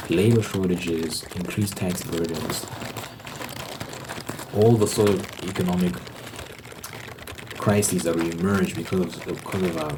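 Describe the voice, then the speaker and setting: A man hosting an ASMR video, rambling casually in an informal monologue and repeating phrases for emphasis. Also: sits low. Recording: clear typing and crinkling noise.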